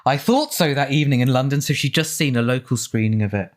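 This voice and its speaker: A man's voice: medium pitch, quick pace. A man giving informal film commentary as an author, chatty and discursive in the way he talks. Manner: chatty, discursive, reading out quotes.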